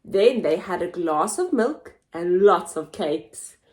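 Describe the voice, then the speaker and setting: A woman hosting a children's story time, reading aloud in an animated, expressive, theatrical way for very young listeners. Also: fairly low pitch, slow pace. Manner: expressive, theatrical, with gasps and whispers. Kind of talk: animated read-aloud for toddlers.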